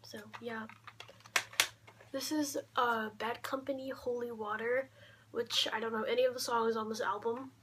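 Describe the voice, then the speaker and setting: A young woman talking casually in a low-key show-and-tell vlog, often trailing off, recorded on a camera mic in a bedroom. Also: fairly even intonation, unhurried, fairly bright tone. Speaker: vlogger showing a collection. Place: small cluttered bedroom, indoors. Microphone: camera mic at arm's length. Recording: clear background noise, handling clicks.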